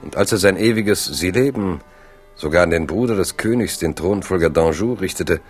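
A man narrating a historical radio play as a measured storyteller. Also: low voice, breathy edge, faint music behind.

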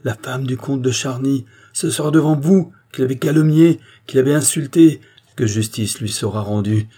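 Man acting an indignant, husky-voiced noble count. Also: fairly low pitch, animated, moderate pace, warm tone, voice pushed up. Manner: emphatic, formal, insistent. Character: indignant, honourable count, proud and courteous nobleman. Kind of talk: dramatic audiobook character acting.

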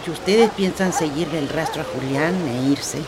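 An actress in a radio drama playing a weary, bossy but fond old grandmother who scolds gently in plain words. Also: low voice, normal speed, noisy background, lightly acted. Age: older.

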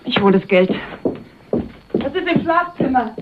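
A woman's voice: high-pitched, lively intonation, a little slow. An actress playing a cool, teasing woman in a German radio crime drama, coy and dismissive with an admirer. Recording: phone-like old radio drama, clear noise.

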